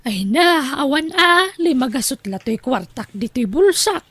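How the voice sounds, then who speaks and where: Casting: woman playing bossy, nagging mother, high voice, Ilocano accent, radio drama delivery, recorded close and dry. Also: sing-song, unhurried, fairly bright tone, no room sound, full character voice. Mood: grumbling, fond. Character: scolding, nagging Ilocano wife and mother, demanding but doting.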